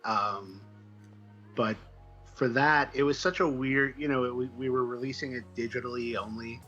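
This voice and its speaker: A man chatting casually as an interview guest, halting and self-deprecating as he thinks out loud. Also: medium pitch, steady tone, dull audio, faint music behind. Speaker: guest, comic book writer.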